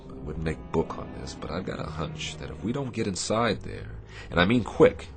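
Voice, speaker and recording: Male actor, grim destroyer captain, deep voice, terse orders, radio play, faint music underneath. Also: sing-song, moderate pace, vocal fry, full character voice. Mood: grim, resolved. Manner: commanding, clipped. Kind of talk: radio drama acting.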